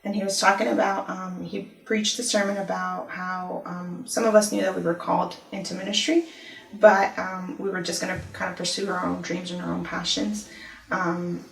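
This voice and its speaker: A woman's voice: lowish voice, level tone, gravelly. Woman telling her story in an echoey room. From Ecuador. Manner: thoughtful, many "um" pauses, storytelling. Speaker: university discipline office director. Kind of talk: conversational testimony interview. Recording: echoey room, mic at a distance.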